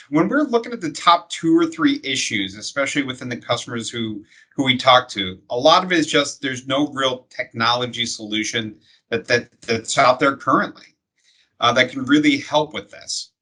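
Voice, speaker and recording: A man's voice: medium pitch, animated, normal speed, pushed and bright. A man speaking as an industry expert, explaining things conversationally in plain, step-by-step terms.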